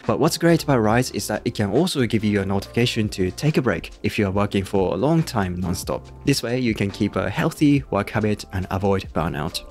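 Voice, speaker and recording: A man in his thirties with a Japanese accent, presenting a scripted review to camera into a close microphone. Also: lowish voice. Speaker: YouTube tech reviewer. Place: small room indoors. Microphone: close podcast-style microphone on a boom. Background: background music.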